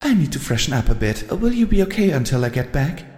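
A man doing a refined, gentle unicorn lady. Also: on the high side, sing-song, quick pace, pitched above the performer's own voice. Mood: gentle, tender. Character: elegant caring adult female unicorn pony. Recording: roomy echo with long reverb.

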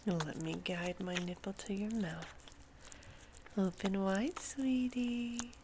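Clear noise and small clicks sit around a woman's voice. She speaks slowly at a middling pitch, slipping between breathy whispers and soft, soothing cooing in an intimate adult ASMR style. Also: fairly even intonation. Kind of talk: sexually explicit whispered roleplay audio, ASMR.

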